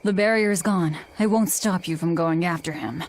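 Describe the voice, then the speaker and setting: A woman's voice: fairly low pitch, normal speed, a little hiss or hum, close to an everyday voice. An actress playing a grim, determined young woman in a video game, terse and commanding. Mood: cold resolve. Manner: terse, stern, cool. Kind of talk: English video game voice acting.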